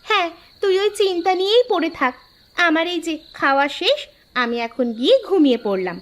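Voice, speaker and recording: A woman's voice: very high voice, animated, a little fast. A woman voicing a carefree, bossy, food-loving sister with a breezy, dismissive manner in a scripted cartoon story.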